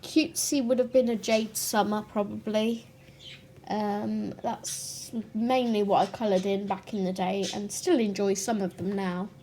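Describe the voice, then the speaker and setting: A young woman hosting a colouring channel, chatting casually to camera in a croaky, short-of-breath voice, pausing now and then to clear her throat. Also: medium pitch, steady tone, warm tone, a little hiss or hum.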